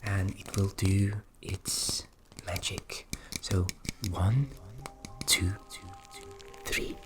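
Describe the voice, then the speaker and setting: A performer voicing a flustered, kindly fairy magic specialist in a fantasy ASMR roleplay, speaking softly and hesitantly, often dropping into a whisper. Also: low voice, fairly even intonation, exaggerated voice. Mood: helpful, gentle. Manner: hesitant, halting, repeats words, often whispering.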